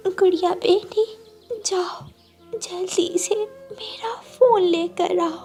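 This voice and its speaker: Woman acting a high, frail ailing mother bird. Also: fairly even intonation, warm tone, faint music behind, full character voice. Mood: weak, urgent. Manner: weak, slow, pleading delivery. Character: sick, dying mother bird, tender and pleading. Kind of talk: Hindi cartoon character acting.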